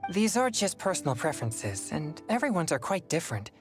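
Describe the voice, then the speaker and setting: A woman's voice: sits low, very animated, a little fast, over music. A woman playing a polite, earnest, mild teenage boy in a video game, slightly hesitant.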